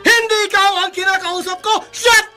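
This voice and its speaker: A man's voice: extremely high pitch, steady tone, moderate pace, sharp tone, soft background music, exaggerated voice. An actor playing a harsh, hot-tempered middle-aged military father in a Filipino radio drama, bellowing with authority. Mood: furious.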